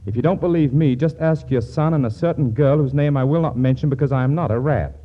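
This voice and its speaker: A man's voice: medium pitch, quick pace, lightly acted. Actor as flustered young man, old radio. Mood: exasperated. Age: young adult. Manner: stammering, protesting. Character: flustered, exasperated young son wrongly taken for a father. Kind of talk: 1940s radio comedy acting. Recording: noisy, muffled 1940s radio recording.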